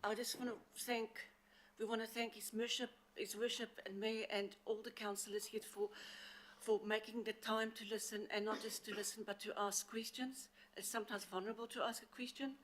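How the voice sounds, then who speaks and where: A woman with an accent from outside the city presents to a council committee on a handheld mic, earnest and halting with frequent fillers. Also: a little high, flat delivery, a bit bright. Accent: non-local accent. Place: council meeting chamber. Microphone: handheld microphone.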